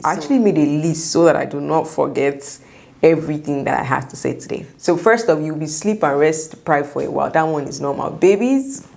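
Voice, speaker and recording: Young Nigerian woman, low creaky voice. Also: darkish tone. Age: young adult. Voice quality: slightly croaky. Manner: emphatic, repeats words for stress. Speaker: vlogger sharing first-time mom advice. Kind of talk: chatty advice vlog to camera.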